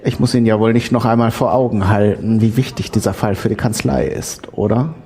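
A man's voice: fairly low pitch, fairly even intonation, brisk, mellow, close to an everyday voice. A man playing a demanding senior partner at a law firm in an audio drama, businesslike and patronising. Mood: pressing, businesslike.

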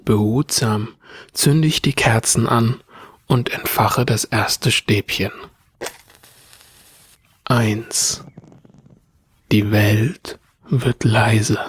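A man playing a weary, solitary bureaucrat who narrates his own life in a radio play, in measured, introspective, terse phrases. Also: fairly low pitch, breathy edge, exaggerated voice. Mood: solemn, resigned. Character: weary, solitary male bureaucrat with quiet grief. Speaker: narrator and lead actor in an audio drama.

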